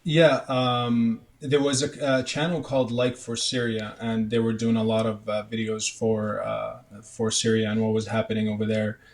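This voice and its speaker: Hesitant young man with a flat voice. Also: on the low side, normal speed, hardly any pauses. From Canada. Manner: hesitant, many fillers and restarts. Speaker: guest, medical student. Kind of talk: casual interview answers.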